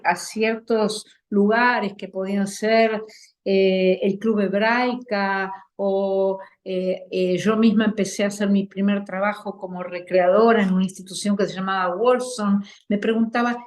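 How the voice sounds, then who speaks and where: A woman from Argentina with an Argentine accent, an actress and theater director speaking as a guest in a reflective interview, measured and thoughtful with pauses. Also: mid-range voice, level tone, darkish tone. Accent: Argentine Spanish.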